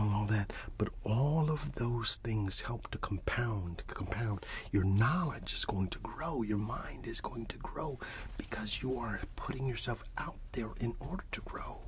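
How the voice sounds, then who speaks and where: A man giving an encouraging, rambling ASMR monologue that slips between a breathy whisper and soft speech, often repeating himself. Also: fairly low pitch, noisy background. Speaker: ASMR channel host.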